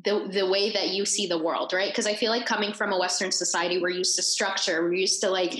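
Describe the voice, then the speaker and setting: A woman's voice: medium pitch, fairly even intonation, a little fast, fairly bright tone. A woman co-hosting a podcast, outspoken, often stumbling at the start of her questions in a casual chat. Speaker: podcast host. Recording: echoey room.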